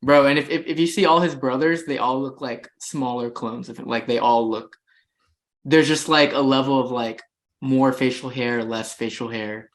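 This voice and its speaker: A man's voice: mid-range voice, fairly even intonation, moderate pace. A young man co-hosting a podcast, chatting casually, joking in enthusiastic bursts. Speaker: podcast co-host. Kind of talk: casual chat.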